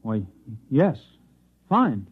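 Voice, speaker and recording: A man's voice: medium pitch, sing-song, very slow, slightly rough, heavily acted. An actor playing a meek, bewildered husband in an old American radio drama, hesitant and earnest, stammering over his words. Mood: uneasy, awkward. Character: meek bewildered husband who believes he is a Martian. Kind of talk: 1950s radio acting. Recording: muffled, tinny old radio recording.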